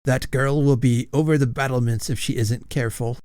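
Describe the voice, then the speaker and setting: A man's voice: mid-range voice, steady tone, fairly quick, a little mellow, no room sound, clean studio sound, close to an everyday voice. A man voicing Sir Hugo, a blustering, chivalrous old male ghost knight who growls his lines. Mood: gruff. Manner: growling, gruff.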